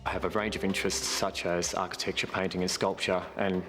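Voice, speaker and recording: Deep-voiced fast Australian man in his forties. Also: little pitch movement, fairly bright tone, long reverb tail, soft background music. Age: 40s. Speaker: game show contestant.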